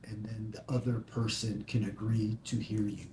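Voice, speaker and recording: A man's voice: level tone, moderate pace, darkish tone, close to an everyday voice. A man playing a firm, irritable organizer in a screenplay table read. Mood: irritated. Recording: echoey room, faint rumbling noise.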